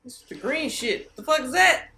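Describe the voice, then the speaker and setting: A woman in her thirties hosting a loud movie reaction at home, exclaiming and shouting at the screen. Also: high-pitched, animated, fairly quick, very noisy.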